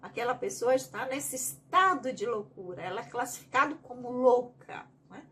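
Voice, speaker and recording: A woman's voice: a little high, lively intonation, moderate pace, fairly bright tone. A woman with a Bahian Brazilian accent, leading a spiritist study group; she reads each question aloud and then explains it at length in her own words. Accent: Bahian Brazilian Portuguese.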